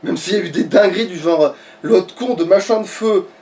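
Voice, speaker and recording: A man's voice: high voice, fairly quick, darkish tone. A man in casual, slangy gaming chat with a co-host, swearing as he goes. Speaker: let's player. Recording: distant mic, clear background noise.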